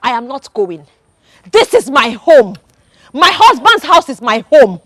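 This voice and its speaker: An actress playing a fierce, loyal wife who shouts and accuses as she defends her husband. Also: high voice, big pitch swings, measured pace, no room sound, full character voice. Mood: furious. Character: fierce loyal young wife, defiant and distraught.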